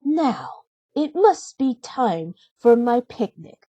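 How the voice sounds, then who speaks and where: Woman playing a sweet mellow fairy-tale heroine. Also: fairly high pitch, sing-song, slow, no room sound, lo-fi sound, voice pushed up, full character voice. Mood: pleased.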